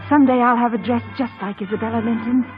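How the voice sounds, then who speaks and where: An actress playing a proud, wilful, dreamy young gentlewoman in an old radio drama, with a yearning, theatrical manner. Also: fairly high pitch, fairly even intonation, fast, lightly acted. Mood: enchanted. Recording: muffled old radio broadcast, faint music.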